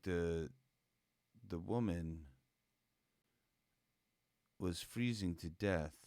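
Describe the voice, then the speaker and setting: A hoarse man, a musician, chatting casually and rambling between songs for his fans. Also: low voice, no echo, studio quality. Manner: rambling, loose, with casual slang asides. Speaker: musician hosting a fan update. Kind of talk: casual late-night chat between songs. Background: guitar playing.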